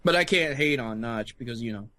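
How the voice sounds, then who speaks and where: A man's voice: mid-range voice, lively intonation, brisk, sharp tone, no room sound. A man chatting casually as a podcast guest, joking in an irreverent, sweary way.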